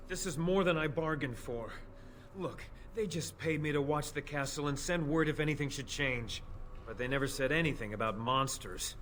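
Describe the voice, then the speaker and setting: A stammering, jittery spy. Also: male voice, fairly high pitch, a bit bright, slight background noise. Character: nervous, evasive young male spy. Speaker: game character.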